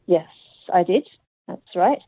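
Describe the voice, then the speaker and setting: A woman's voice: on the low side, lively intonation, husky, no room sound. Australian woman on a tinny phone line. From Hong Kong. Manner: hesitant, thoughtful, many pauses and fillers. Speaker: author guest. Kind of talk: conversational interview answers.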